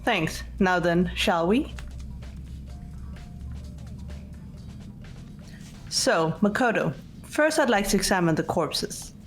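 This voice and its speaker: A woman's voice: on the low side, lively intonation, a little slow, soft background music. A woman voicing a composed, blunt teenage girl detective in an amateur visual novel dub, speaking in a controlled, matter-of-fact way. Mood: businesslike.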